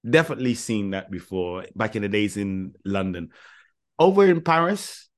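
A man's voice: on the low side, normal speed. Teasing man chatting on a podcast. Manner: relaxed, teasing, rambling anecdotes. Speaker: podcast co-host. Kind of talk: casual chat.

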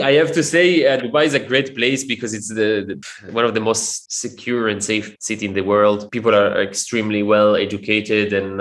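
Guest: middle-aged man, Italian native, lowish voice, earnest and halting. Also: a bit bright. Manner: earnest, many hesitations and repeated words. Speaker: guest, investor. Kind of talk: interview conversation.

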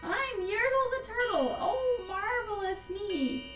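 A woman's voice: very high-pitched, lively intonation, slow, voice pushed up, heavily acted. A woman voicing a boastful, imperious male turtle king who bellows grandly in a rhymed storybook. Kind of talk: rhymed storybook read-aloud. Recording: roomy echo, distant, loud music behind. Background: loud music.

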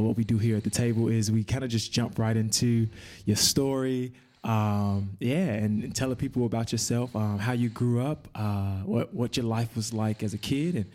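A man's voice: medium pitch, warm tone. A man hosting a podcast interview, chatty, laughing often and encouraging his guest. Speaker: podcast host. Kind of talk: casual interview chat.

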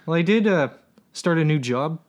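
Dude-saying podcast guy, kinda high voice, crude jokes. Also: animated, normal speed. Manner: crude, laughs often, says "dude" a lot. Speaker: podcast co-host. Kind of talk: casual comedy podcast banter. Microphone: studio microphone.

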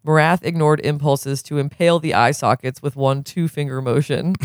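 Guy reading a novel out loud, slightly high voice, really dry close recording. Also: steady tone, normal speed, dry recording. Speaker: reader, co-host.

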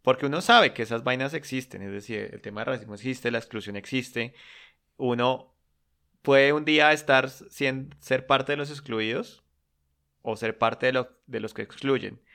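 A man's voice: medium pitch, normal speed, gravelly, bright, forceful tone. Guy cohosting a podcast, mid-range voice, thoughtful chat. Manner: reflective, agrees briefly while the other host talks. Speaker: podcast co-host. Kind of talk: casual chat.

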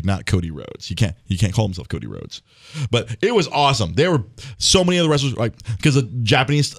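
A man hosting a casual podcast chat, talking in an animated way, swearing, laughing and stumbling over his words. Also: on the low side, no echo, studio quality. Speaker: podcast host.

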